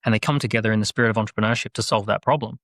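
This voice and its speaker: A man speaking as a guest on a podcast, animated and persuasive. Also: on the low side, rapid-fire, no room sound, clean studio sound. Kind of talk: podcast conversation.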